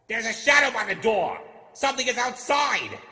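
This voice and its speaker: A man voicing angry, alarmed goblin guards from a storybook, shouting in frantic barks. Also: very high-pitched, animated, normal speed, pitched above the performer's own voice, heavily acted. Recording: echoey with long reverb.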